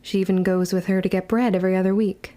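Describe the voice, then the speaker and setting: An actress playing a young orphan witch who speaks in formal, measured, old-fashioned words, wry, as in period audio drama narration. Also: medium pitch, little pitch movement, mellow, close to an everyday voice. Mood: wry reminiscence.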